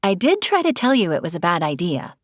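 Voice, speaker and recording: A man voicing an impish, sunny young female AI companion in an audiobook, with a light, breathy, expressive delivery. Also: sits high, fairly quick, no echo, studio quality, voice pushed up, heavily acted. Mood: cheerful, teasing. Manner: cheerful, expressive. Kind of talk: audiobook character voice.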